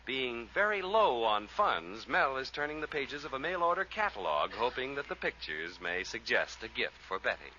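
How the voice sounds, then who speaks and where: A man's voice: medium pitch, very animated, normal speed, hardly any pauses, sharp tone, a little hiss or hum. A man narrating as announcer in a scripted 1940s radio comedy style. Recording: muffled old radio recording.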